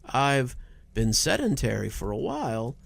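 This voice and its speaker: A menacing backwoods killer from a horror story, played by a male narrator. He intones his threats with fake friendliness, taunting and lively in pitch, with a creaky edge. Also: a little slow, full character voice. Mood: threatening, falsely friendly.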